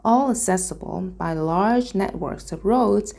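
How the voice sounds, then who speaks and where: A woman reading a storybook aloud as narration, in a careful, deliberate manner. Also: medium pitch, gravelly, warm tone. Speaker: reader.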